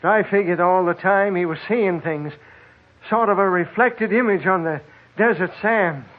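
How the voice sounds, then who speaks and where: An actor playing a weary, skeptical prospector lost in the desert, plain-spoken and emphatic, in an old radio drama. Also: male voice, sits high, moderate pace. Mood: weary, relieved. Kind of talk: old-time radio horror drama acting. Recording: muffled old radio recording.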